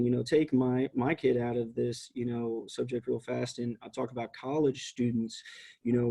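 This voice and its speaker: Flat-toned mellow man, earnest. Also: mid-range voice, creaky. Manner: earnest, many fillers like "you know". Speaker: program staff working with blind students. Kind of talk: conversational webinar discussion. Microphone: video call.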